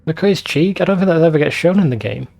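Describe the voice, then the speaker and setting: A man's voice: a little high, lively intonation, fast, gravelly, a little mellow. A man giving informal, sweary gaming commentary as a let's play host. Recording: faint game music behind voice. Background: game soundtrack.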